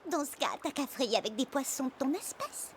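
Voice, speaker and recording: A woman voicing a plucky, bossy little female seahorse in a cartoon, sassy and expressive. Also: high voice, a little fast, a little hiss or hum. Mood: caring, brisk. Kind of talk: animated film dubbing. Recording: music underneath.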